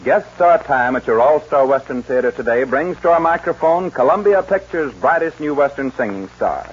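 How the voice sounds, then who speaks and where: A man's voice: medium pitch, lively intonation, normal speed. A man announcing and narrating a 1940s western radio show in formal, grandiose showman phrasing. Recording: dull 1940s radio recording.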